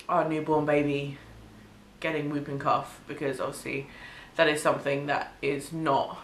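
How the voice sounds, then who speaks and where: A young woman from the UK, chatting casually in a home vlog, sniffly from a cold, with frequent ums and soft laughs. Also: low-pitched. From United Kingdom. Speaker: vlogger. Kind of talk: casual pregnancy update vlog. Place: small bedroom or home studio, indoors. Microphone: camera mic, head-and-shoulders framing. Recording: echoey room.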